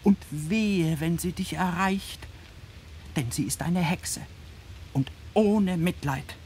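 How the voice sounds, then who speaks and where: A woman's voice: on the low side, takes it slowly, a little mellow, a little hiss or hum. A woman in a German radio play, playing a tiny kindly king of a single day, confiding and solemn in storybook fashion. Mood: urgent. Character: tiny kindly February day-king, youngest of twenty-eight brothers.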